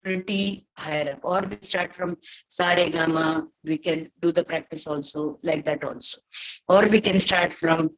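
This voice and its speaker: A woman with an Indian accent who teaches voice acting, lecturing in a halting, deliberate, explanatory manner. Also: sits low, fairly even intonation, highs cut off. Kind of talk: webinar lecture with demonstrations.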